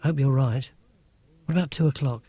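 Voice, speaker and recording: A man's voice: medium pitch, a little fast, mellow, slightly rough, no echo, close to an everyday voice. A man voicing a cheeky, whiny, awkward schoolboy in a children's audiobook. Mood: anxious.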